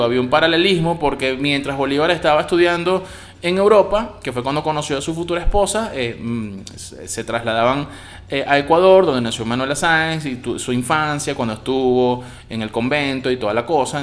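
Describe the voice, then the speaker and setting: Slangy Venezuelan man reviewing a series. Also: medium pitch. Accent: Venezuelan Spanish. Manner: slangy, expressive, swears freely. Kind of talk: casual spoken review.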